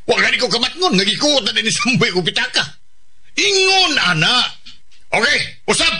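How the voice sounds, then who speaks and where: An actor with a Filipino accent playing a bossy pickpocket gang leader in a radio drama, barking short orders and jeering. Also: male voice, extremely high pitch, sing-song, moderate pace, a loud crowd. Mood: mocking.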